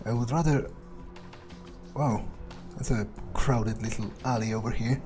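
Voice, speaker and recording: A man's voice: mid-range voice, a little slow, darkish tone, natural voice. A man voicing a cynical, foul-mouthed vampire in a video game, muttering casually to himself as he thinks aloud. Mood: frustrated, sardonic. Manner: casual, sweary, hesitant muttering. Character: cynical, foul-mouthed male vampire prowling a city for prey. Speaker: gameplay roleplayer. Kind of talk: in-character gameplay monologue, thinking aloud. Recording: game music behind the voice.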